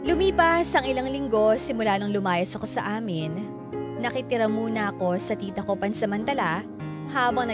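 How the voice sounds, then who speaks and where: A woman playing a hurt, weary young daughter, confiding her story slowly and reflectively in a radio drama narration. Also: mid-range voice, close to an everyday voice. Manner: sorrowful, slow, reflective confiding. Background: clear music under the voice.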